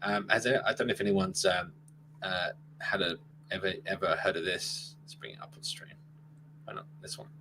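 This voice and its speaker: A man's voice: sits low, normal speed. A man hosting a live stream in a casual, chatty way. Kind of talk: casual chat. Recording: faint hum.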